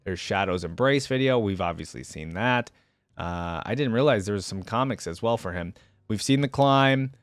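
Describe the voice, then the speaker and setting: A man's voice: on the low side, expressive, moderate pace, dry recording. Man reading and commenting casually, dry close sound. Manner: casual, thinking aloud. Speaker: reaction video host.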